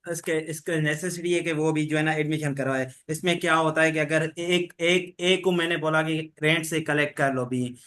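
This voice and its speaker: A man teaching an online law class, explaining legal rules and reading the English terms out slowly. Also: fairly high pitch, steady tone, fairly bright tone.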